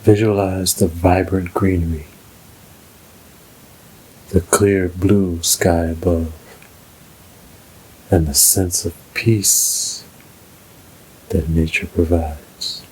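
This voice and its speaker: A man narrating a scripted guided meditation in a soothing, measured, unhurried way. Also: sits low, steady tone, a little mellow, a little hiss or hum, low-quality recording.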